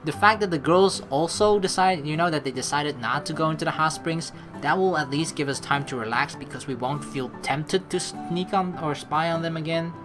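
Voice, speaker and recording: A woman's voice: low voice, moderate pace, few pauses, over music. A woman let's play streamer reading game text aloud and adding chatty commentary.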